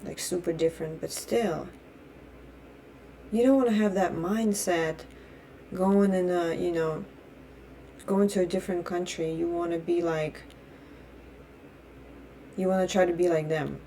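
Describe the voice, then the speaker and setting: A woman's voice: fairly low pitch, fairly even intonation, unhurried, creaky, long pauses, mellow, slight background noise. A young adult woman from Russia, a native Russian speaker, ranting bluntly with heavy swearing and repeated restarts in her phrasing. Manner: blunt, heated and profane. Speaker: vlogger. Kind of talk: opinion rant.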